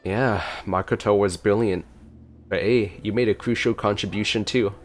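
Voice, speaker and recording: A gameplay streamer with a low voice, chatting and reacting casually. They read along with the game aloud, with faint music behind. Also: steady tone, moderate pace.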